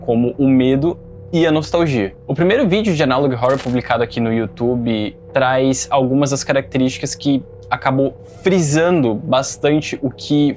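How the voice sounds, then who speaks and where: A man's voice: mid-range voice, a little mellow. A Brazilian man hosting and narrating an informative scripted video essay. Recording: faint background noise.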